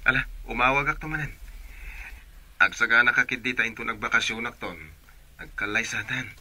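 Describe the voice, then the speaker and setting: A man's voice: mid-range voice, steady tone, a little fast, sharp tone, husky. A man playing a caring young sweetheart in a radio drama, with a Filipino accent. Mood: affectionate.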